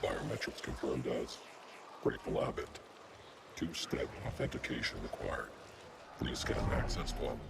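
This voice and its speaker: An actor voicing a cold automated security system in a video game, making flat procedural announcements with robotic processing. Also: male voice, extremely low pitch, unhurried, vocal fry, exaggerated voice. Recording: distant voice under loud machine noise.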